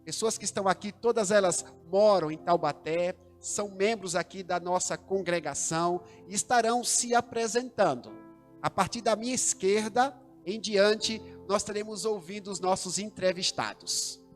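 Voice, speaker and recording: A man's voice: high voice, normal speed, soft background music. A man with a Brazilian Portuguese accent, a pastor hosting a church livestream, speaking formally with rising emphasis. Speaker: pastor and host.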